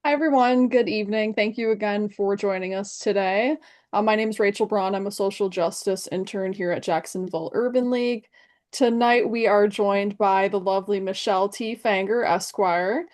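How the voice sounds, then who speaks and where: A woman intern reads a guest introduction in a polite, formal way, with a mid-pitched, ordinary voice. Her delivery is careful and scripted, with a few small slips. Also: fairly even intonation, moderate pace, a little mellow. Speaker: social justice intern, interviewer.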